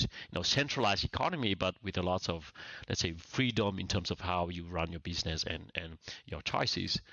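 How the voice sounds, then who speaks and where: A man from Vietnam in his forties, a startup founder as a podcast guest, talking casually and haltingly with many restarts. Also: low voice, sharp tone, no echo. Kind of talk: casual interview talk. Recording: dry, dull lo-fi sound.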